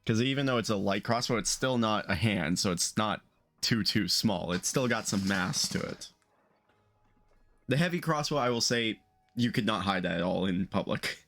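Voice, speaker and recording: A man's voice: fairly low pitch, animated, normal speed, fairly bright tone, faint music behind. A man acting as game master, casually improvising rulings and narration for a tabletop game on an online call. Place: online group call, streamed live.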